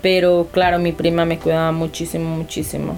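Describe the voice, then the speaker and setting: A woman's voice: low voice, flat delivery, brisk, a little mellow, soft background music. A woman with a Honduran Spanish accent, an interview guest telling a personal story in casual conversation, explaining things directly to the listener.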